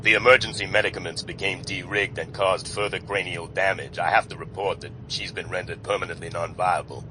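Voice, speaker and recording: A man acting a dutiful bomber crewman in a comic radio drama. His voice is low and solemn, delivering long formal words deadpan, with clear engine rumble behind. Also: brisk, few pauses, natural voice. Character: solemn, dutiful airman speaking in stiff euphemisms. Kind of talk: satirical radio comedy drama.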